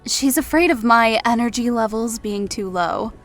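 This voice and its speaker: A woman playing a wry, affectionate elf in an audio drama, speaking in a measured, dryly teasing way. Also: fairly high pitch, expressive, a bit bright, faint music behind. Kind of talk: audio drama character acting.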